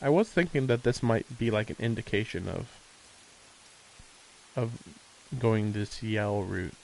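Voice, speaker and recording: A man's voice: fairly low pitch, animated, measured pace, gravelly, darkish tone, rough recording. A man streaming a visual novel, reading the text aloud and mixing in casual commentary, with faint noise behind him.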